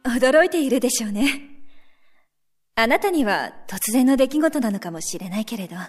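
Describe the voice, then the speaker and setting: A woman acts a self-effacing but resolute wife in a radio drama. She speaks formally and with composure in a high, feminine voice, with soft music underneath. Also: animated, normal speed. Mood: quiet resignation. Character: resolute, self-effacing wife reading her farewell letter.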